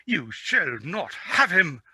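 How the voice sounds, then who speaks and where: A spoiled boy prince, acted out by a man, speaks in a raised, bright voice. He gives pompous, imperious orders in storybook fashion, stretching his words to sound important. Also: fairly high pitch, sing-song, slightly rough, no echo, voice pushed up, exaggerated voice. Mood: petulant. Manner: pompous, demanding, drawn-out commands.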